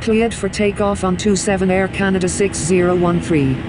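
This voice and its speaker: Very loud aircraft noise runs behind a high-pitched male airline pilot acted by a man in a flight control game. He gives procedural, clipped radio calls in a businesslike manner. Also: steady tone, moderate pace, natural voice. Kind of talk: scripted radio phraseology. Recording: loud jet engine noise.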